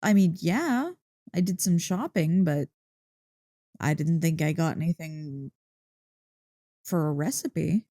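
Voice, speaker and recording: A woman voicing an excitable, affectionate dog-girl girlfriend in an intimate ASMR roleplay, playful and easily flustered. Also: sits low, slow, long pauses, dry recording, clean studio sound, heavily acted. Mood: excited, curious. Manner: playful, expressive, mock-indignant teasing. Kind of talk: ASMR roleplay, intimate one-sided dialogue. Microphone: close, dry microphone.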